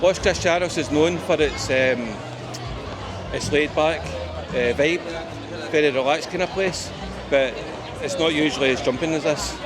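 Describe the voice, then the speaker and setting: A man's voice: on the high side, unhurried. A man with a broad Scottish accent chatting casually as he walks along a seaside promenade, rambling with plenty of ums, wee and aye. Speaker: travel vlogger. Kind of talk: casual walking-tour vlog. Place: busy outdoor seaside promenade. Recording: noisy outdoor crowd with music. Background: crowd chatter and festival music.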